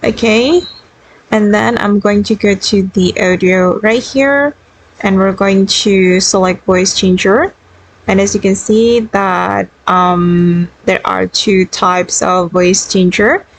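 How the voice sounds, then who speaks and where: A woman's voice: mid-range voice, fairly even intonation, takes it slowly, poor audio. A woman hosting a video tutorial, narrating the steps in a casual, instructional way. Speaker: tutorial host.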